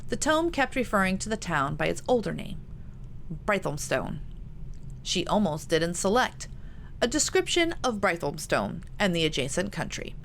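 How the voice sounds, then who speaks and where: A woman's voice: on the low side, vocal fry, a little hiss or hum. A woman reading a novel aloud as its author, in a scripted storytelling style. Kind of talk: scripted fiction narration.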